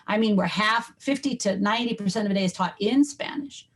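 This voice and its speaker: A woman's voice: medium pitch, brisk, breathy edge. A woman linguistics professor answering interview questions in a firm, lecturing way.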